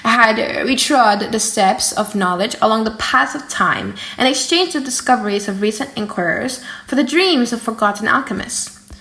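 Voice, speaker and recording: High-voiced woman reading dramatically, echoey room. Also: moderate pace. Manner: theatrical, expressive. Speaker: audiobook reader. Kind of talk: dramatic, slightly silly audiobook narration.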